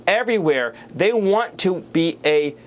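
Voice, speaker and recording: Male political spokesman, slightly high pitch, interview answers, emphatic, rhetorical, repetitive phrasing. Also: very animated, unhurried. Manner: emphatic, rhetorical, builds lists and repeats phrases.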